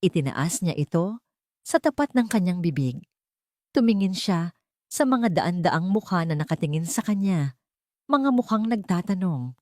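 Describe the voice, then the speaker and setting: Female narrator, low register, dry close sound, solemn and dramatic storytelling delivery. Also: expressive, moderate pace, long pauses, warm tone, no room sound.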